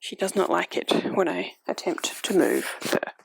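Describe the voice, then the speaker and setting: A woman's voice: lowish voice, normal speed, vocal fry, slight background noise, low-quality recording. A woman in her forties chatting casually at home about books, rambling with frequent ums and self-corrections. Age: 40s. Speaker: book YouTuber. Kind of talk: casual booktube chat. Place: home, with a cat on the microphone. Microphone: desk microphone.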